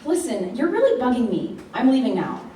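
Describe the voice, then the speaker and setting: A sassy, flustered flight attendant, played by a woman with a high, very lively voice. She is sarcastic and exasperated, performing a radio play in an echoey hall. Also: a little fast. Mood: annoyed. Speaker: amateur stage voice actor. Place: large indoor hall before an audience. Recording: echoey hall, far mic, faint noise.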